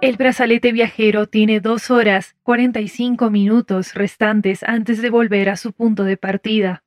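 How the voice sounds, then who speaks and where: A woman voicing an impersonal bracelet assistant in an audio drama, making measured automated announcements. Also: on the high side, level tone, no room sound, faint music behind, lightly acted. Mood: neutral, informative. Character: female time-travel bracelet voice assistant, neutral, impersonal.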